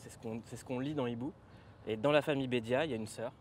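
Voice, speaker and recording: A man hosting a lively TV talk show, asking quick, teasing questions. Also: mid-range voice, a little hiss or hum.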